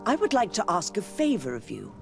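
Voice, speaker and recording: An actress playing a pious, persuasive high church leader in a video game, formal and solemn. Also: female voice, wildly swooping pitch, a little fast, creaky, music in the background, heavily acted. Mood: solemn, explanatory. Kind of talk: scripted video game dialogue.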